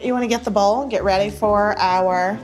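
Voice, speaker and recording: A woman's voice: mid-range voice, fairly even intonation, brisk, background noise. A middle-aged woman hosting a cooking segment in her home kitchen, teasing and motherly. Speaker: cooking show host. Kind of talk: casual cooking chat. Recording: TV show with music bed. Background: background music, dishes clattering.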